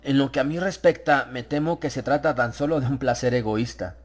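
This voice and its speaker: A man's voice: medium pitch, fairly quick, natural voice. A man voicing a jovial, self-deprecating English merchant in an audiobook, with a slightly affected manner. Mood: jovial, modest. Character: polite, self-deprecating English silk merchant revisiting his school.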